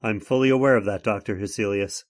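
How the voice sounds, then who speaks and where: A man's voice: fairly low pitch, quick pace, lightly acted. An actor playing an earnest, worried man seeking help, formal and polite in old-time radio horror style. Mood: earnest, concerned.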